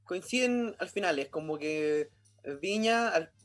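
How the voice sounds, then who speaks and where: A Chilean man hosting a casual interview, his voice pitched high for a man. He asks questions loosely, circling back and restarting as he goes. Faint music plays behind him. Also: expressive, a little slow.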